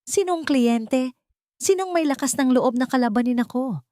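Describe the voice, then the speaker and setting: A woman voicing a haughty, scheming wife with a sharp, bossy manner in an audio drama. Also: sits high, expressive, normal speed, no echo, clean studio sound, pitched above the performer's own voice. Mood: haughty outrage.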